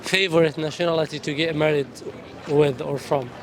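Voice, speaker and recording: A man from Yemen hosting a street-interview video, asking passers-by questions in a rapid, eager, friendly way. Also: on the high side, steady tone, background noise. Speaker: street interviewer and channel host. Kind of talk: fast upbeat street-interview chat. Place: city street outdoors.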